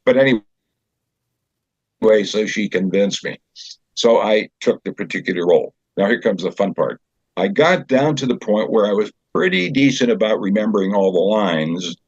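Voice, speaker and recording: An older man chatting as an interview guest, telling anecdotes in a halting, conversational storyteller's way. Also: on the low side, animated, slightly rough, no room sound. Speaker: guest, community theater director and actor. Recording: slightly dull, dry recording.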